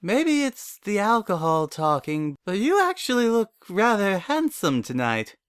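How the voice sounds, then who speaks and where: Man as a high, slurring, tipsy lady pony. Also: huge pitch swings, unhurried, clear, resonant tone, no echo, studio quality, heavily acted. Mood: tipsy, flirty. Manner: slurred, gushing. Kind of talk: dramatic fan fiction reading.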